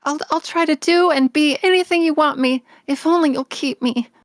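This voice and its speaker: A woman's voice: high-pitched, moderate pace, no room sound, clean studio sound, voice pushed up, natural voice. A woman voicing an eager, impassioned eleven-year-old orphan girl from a storybook, breathless and pleading. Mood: meek pleading.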